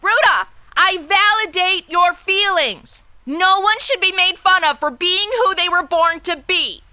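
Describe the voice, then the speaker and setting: A woman's voice: very high voice, a little slow, bright, forceful tone, no room sound, exaggerated voice. A woman playing a sarcastic, exasperated young superhero sidekick in a comedic radio play, with snarky, slangy, exclamatory delivery. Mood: concerned, sarcastic. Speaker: regular lead of comedy podcast.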